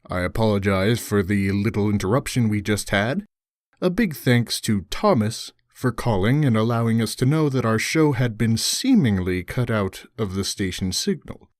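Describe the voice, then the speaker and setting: A man playing an earnest, formal small-town radio news host delivering a scripted broadcast monologue in a courteous, measured way. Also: fairly low pitch, lively intonation, no echo, studio quality, lightly acted. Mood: apologetic, courteous.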